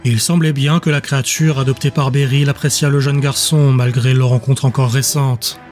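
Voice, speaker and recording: A man narrating a dramatic audiobook in a measured, literary storytelling manner. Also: medium pitch, flat delivery, darkish tone, faint music behind.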